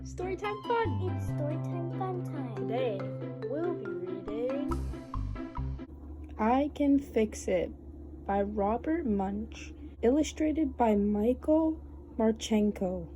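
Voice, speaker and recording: Slow-paced woman reading a children's story. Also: fairly high pitch, expressive, over music. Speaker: children's storytime channel host reading picture books aloud. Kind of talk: expressive children's read-aloud narration.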